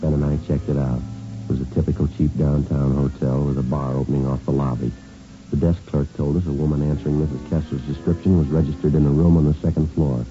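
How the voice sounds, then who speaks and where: A very low, dark-voiced American police detective narrates his case in old radio drama style. He talks quickly and flatly, all business, through a dull vintage recording. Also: soft background music. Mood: firm, probing. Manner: clipped, deadpan, matter-of-fact.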